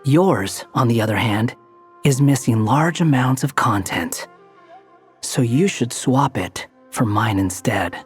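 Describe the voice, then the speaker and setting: A man's voice: medium pitch, lively intonation, takes it slowly, soft background music. A man voicing an aloof, sardonic young wandering scholar in an anime game, dry and haughty. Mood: smug.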